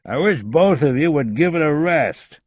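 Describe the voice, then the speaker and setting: A man's voice: on the high side, expressive, normal speed, no room sound, voice pushed up. A man voicing a weary, tired male general.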